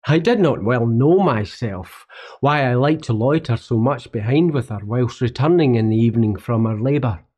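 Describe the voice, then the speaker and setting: A man's voice: medium pitch, expressive, normal speed, a little mellow, lo-fi sound, lightly acted. A man with a Scottish accent playing a poet who looks back wryly on his youth in a literary radio play monologue. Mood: wistful, wry.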